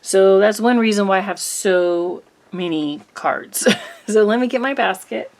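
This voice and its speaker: A middle-aged woman hosting a crafting channel, chatting casually to camera in a rambling way with little laughs and ums, her voice slightly rough from allergies. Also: medium pitch, unhurried, no echo.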